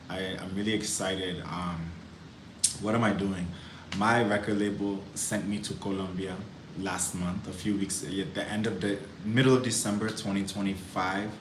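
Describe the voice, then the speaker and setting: A man live streaming, reflecting on his music career and greeting viewers by name. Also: low-pitched. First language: English. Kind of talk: casual live stream chat. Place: indoors in Cali, Colombia. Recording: roomy with clear background noise.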